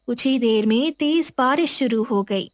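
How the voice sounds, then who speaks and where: A woman's voice: on the high side, measured pace. A woman narrating a scripted moral tale as a storyteller.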